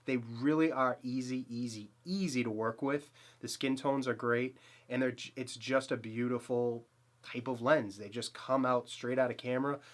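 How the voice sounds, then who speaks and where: A man's voice: medium pitch, fairly even intonation, normal speed. A man in his thirties with a Boston accent, talking casually and eagerly to camera about a portrait lens in a small dim home studio, rambling with fillers and restarts. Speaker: photography channel host. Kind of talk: casual gear review to camera.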